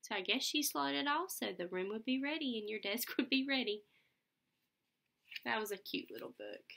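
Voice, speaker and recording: A woman's voice: on the high side, big pitch swings, normal speed, vocal fry, fairly bright tone. A young adult woman reading a picture book aloud to children, explaining simply and putting questions to her listeners. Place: small furnished room at home, indoors. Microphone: computer webcam at about arm's length.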